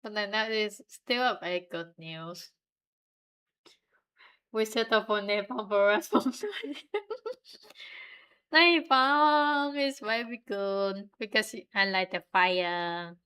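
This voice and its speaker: Young vietnamese woman streaming, slow easygoing chat, clear bright voice, giggles a lot. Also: medium pitch. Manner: relaxed, giggly, chatting to viewers. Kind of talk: casual stream chat while drawing.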